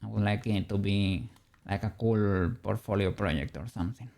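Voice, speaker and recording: Give me a man with a Spanish accent and a gravelly, dark voice, chatting with viewers as he works. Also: lowish voice, fairly even intonation, normal speed. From Spain. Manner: musing aloud, chatting with viewers, light laughs. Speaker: streamer and 3D rigger. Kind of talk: casual livestream chat while working.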